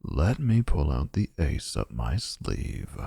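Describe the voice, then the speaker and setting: A man playing a gravelly, possessive vampire. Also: very deep voice, very animated, drawn-out words, dark tone, dry recording, studio quality, exaggerated voice. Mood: scheming flirty.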